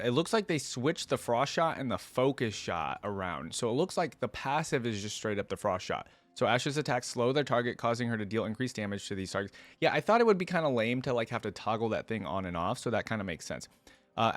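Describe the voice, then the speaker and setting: A man's voice: mid-range voice, expressive, fast talker, no echo. A man giving casual, conversational reaction commentary on a video game, rambling with frequent asides.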